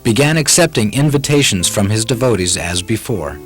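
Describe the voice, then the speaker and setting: Guy narrating a puppet show, lowish voice, solemn, music behind. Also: lively intonation, normal speed.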